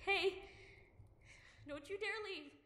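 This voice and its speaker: A woman playing a protective, prickly young girlfriend in a roleplay audio drama, tender but bossy and halting when vulnerable. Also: extremely high pitch, bright, forceful tone, slight background noise, heavily acted. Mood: frantic, insistent. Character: protective, prickly young woman, frightened for her injured lover.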